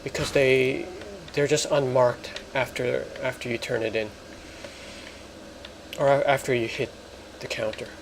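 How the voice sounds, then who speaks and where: A man giving casual, halting gameplay commentary as a let's player. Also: mid-range voice, steady tone, vocal fry, a little hiss or hum.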